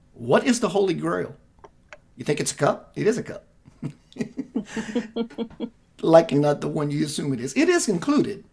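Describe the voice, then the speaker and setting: Male host, spiritual radio talk, soft and meditative, chatty and rambling. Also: fairly high pitch.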